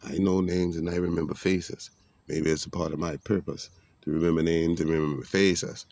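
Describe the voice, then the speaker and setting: Deep gravelly man rambling preachy talk. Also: fairly even intonation, moderate pace, a little mellow, no echo. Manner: rambling, preachy, streetwise talk with biblical references. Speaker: vlogger giving a monologue. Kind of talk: casual spiritual monologue.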